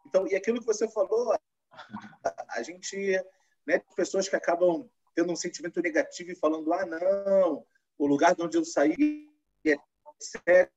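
A man's voice: sits high, expressive, moderate pace, darkish tone. A man hosting a live-streamed interview, talking in a loose, conversational way and asking long, rambling questions. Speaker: host. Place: lives in Israel. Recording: muffled lo-fi stream audio.

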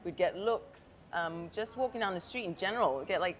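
A woman's voice: fairly low pitch, expressive, fairly quick. A woman in her thirties chatting frankly as a guest on a casual interview podcast, often laughing. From Thailand and Hong Kong. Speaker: guest artist. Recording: faint background noise.

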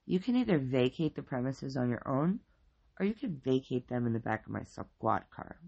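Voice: mid-range voice, sing-song, moderate pace, vocal fry, darkish tone, slightly rough, lo-fi sound, full character voice. A storyteller voicing a plain-spoken, no-nonsense male police officer with an awkwardly kind streak. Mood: stern.

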